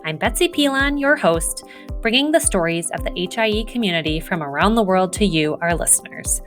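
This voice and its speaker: Woman hosting a podcast over soft music. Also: mid-range voice, lively intonation, a little fast. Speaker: podcast host. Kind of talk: scripted intro then interview questions.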